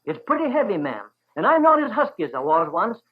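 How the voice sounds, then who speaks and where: Male storyteller as courteous old seaman, folksy and weary, raised pitch, muffled vintage radio sound. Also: sing-song, a little fast, breathy edge, heavily acted. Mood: polite, humble. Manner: folksy, polite, old seafaring talk. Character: weary, courteous old sailor, about eighty. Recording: dull 1940s radio recording.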